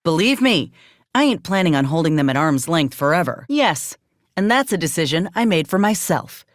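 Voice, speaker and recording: A woman's voice: low voice, sing-song, normal speed, no echo. A battle-worn frontier woman soldier in a video game, loyal and guarded. She talks in a folksy, plainspoken way with a dry, wry edge. The sound is dry, with no room on it. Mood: earnest, firm. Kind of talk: video game character dialogue.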